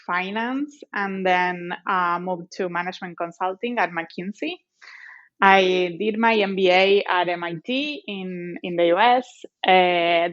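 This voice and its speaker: A woman from Argentina with an Argentine accent, giving measured, explanatory interview answers as a guest. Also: lowish voice, fairly bright tone. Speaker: guest, company executive. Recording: slightly dull lo-fi audio.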